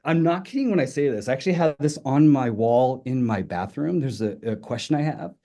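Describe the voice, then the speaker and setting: A man's voice: mid-range voice, expressive, a little fast, warm tone. A middle-aged man whose first language is Italian, giving a friendly, informal talk as a guest speaker and telling personal stories. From Italy. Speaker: guest speaker, linguistics professor. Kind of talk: personal talk on an online webinar.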